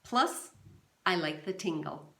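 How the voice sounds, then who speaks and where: A woman voicing a cheerful male baseball star who pitches a product in a TV ad, with an upbeat sales delivery, recorded in a roomy, echoey space. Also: expressive, slow pace, gravelly, bright, forceful tone, exaggerated voice. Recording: roomy echo.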